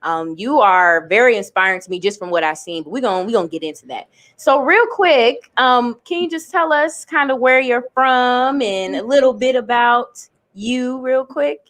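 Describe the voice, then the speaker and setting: Upbeat woman host, casual slang, lively swinging pitch. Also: normal speed, hardly any pauses. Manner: enthusiastic, slangy, playful. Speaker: podcast host. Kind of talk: casual interview chat.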